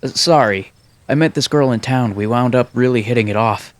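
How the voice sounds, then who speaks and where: A man's voice: medium pitch, fairly quick, dry recording, natural voice. A man playing a mild, hesitant young protagonist in a visual novel fan dub. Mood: apologetic.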